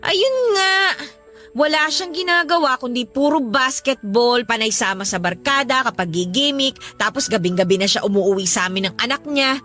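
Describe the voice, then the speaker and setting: A woman's voice: a little high, moderate pace, sharp tone, faint music behind. A woman playing an exasperated young mother and live-in partner in an emotional Filipino radio drama. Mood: troubled, weary. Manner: emotional, scolding.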